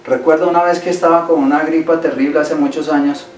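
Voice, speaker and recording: A man's voice: on the high side, steady tone, fast talker, a little mellow. A male pastor preaching a Sunday sermon in a church, emphatic and repeating key phrases for stress. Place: church sanctuary. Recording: echoey hall, voice from far off.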